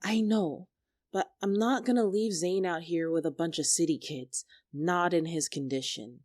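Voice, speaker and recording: A woman's voice: on the low side, normal speed, a little mellow, no echo, studio quality, natural voice. A woman playing an earnest young woman in a dramatic argument, anxious but stubborn, pleading and defensive. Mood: quietly stubborn. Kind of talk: dramatic two-character argument performance.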